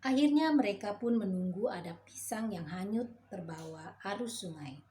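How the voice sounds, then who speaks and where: Gentle woman telling a children's tale. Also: mid-range voice, lively intonation, moderate pace, a little hiss or hum. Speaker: children's storyteller. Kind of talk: reading a children's fairy tale.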